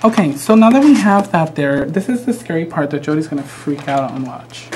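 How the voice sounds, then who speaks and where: A man's voice: a little high, very animated, brisk, very noisy. A man with a Mexican American accent demonstrating a craft project live, chatty and easygoing with frequent ums. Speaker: craft instructor.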